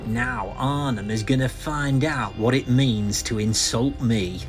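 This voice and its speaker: An actor playing a deranged, vengeful failed painter, menacing and ranting in a melodramatic audio comic. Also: male voice, mid-range voice, slow pace, soft background music, lightly acted. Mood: bitter rage.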